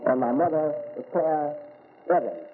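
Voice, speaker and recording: A man's voice: fairly high pitch, lively intonation, a little slow. An American man giving a religious lecture as an Islamic teacher, asking rhetorical questions and repeating key words. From United States. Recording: tinny phone-like recording with faint hiss.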